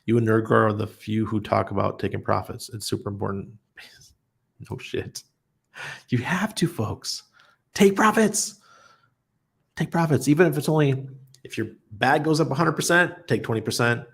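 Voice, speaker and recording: A man's voice: medium pitch, expressive, normal speed, gravelly, long pauses, fairly bright tone, husky. A man hosting a casual livestream, reading viewer comments aloud and answering them in a blunt, chatty way, often laughing. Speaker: livestream host.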